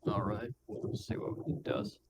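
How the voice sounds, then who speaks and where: A man chatting casually as a player in a tabletop roleplaying game. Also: unhurried. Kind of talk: casual chat. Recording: clear background noise.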